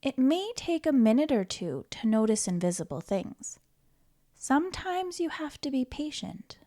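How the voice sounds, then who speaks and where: A woman reading a children's book aloud as a storytime host, in an expressive, playful manner with questions to the listener and dramatic pauses. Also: high-pitched. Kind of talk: animated children's read-aloud.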